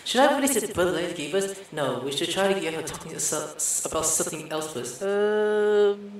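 A woman's voice: on the low side, lively intonation, brisk. A woman game streamer commentating informally and reading dialogue aloud, reacting as she plays. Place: indoor room. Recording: very echoey room, faint game music.

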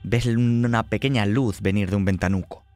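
A man's voice: lowish voice, steady tone, normal speed, darkish tone, dry recording. A man with a Spanish accent from Spain, acting as game master and narrating a horror role-playing game in short, suspenseful phrases.

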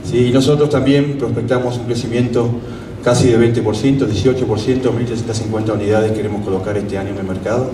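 A middle-aged man speaks into a handheld microphone in a large exhibition hall. His delivery is measured and full of figures, with frequent "¿sí?" check-ins. The sound is roomy and picked up from some distance, with a steady hall noise behind him. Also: mid-range voice. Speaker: company executive presenting at a trade show. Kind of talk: corporate presentation speech. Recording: echoey hall, taped from a distance.

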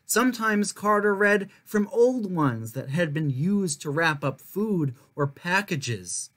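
A young man reading a children's picture book aloud as a storyteller, in measured, clearly phrased narration. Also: sits high, animated, a bit bright. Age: young adult. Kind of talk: scripted narration, story time read-aloud.

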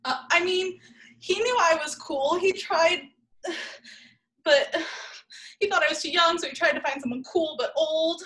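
A woman's voice: extremely high pitch, measured pace, pauses often, sharp tone, full character voice. A woman reading the part of a jilted, vengeful seventeen-year-old girl in an amateur script read, indignant and dramatic. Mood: hurt, indignant. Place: home room, video call.

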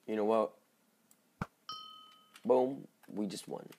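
A man with a slightly hoarse, just-woken voice giving casual, halting gameplay commentary as he thinks aloud. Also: lowish voice, warm tone, slight background noise. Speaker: gaming video host.

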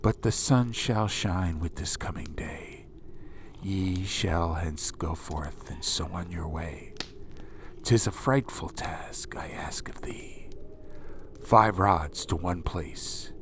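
A man voicing an ancient, scarred monk who chants rhymed verse slowly in a deep, half-whispered voice. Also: full character voice. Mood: solemn. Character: ancient scarred male monk, solemn and mystical. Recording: steady ambient noise bed.

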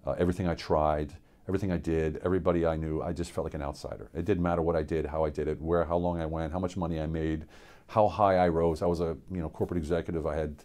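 A middle-aged man from Pennsylvania, a military veteran, gives an unscripted personal testimony in a studio, earnest and reflective, with many halting fillers and restarts. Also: very deep voice, flat delivery, mellow. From central Pennsylvania, USA. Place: indoor studio with dark backdrop.

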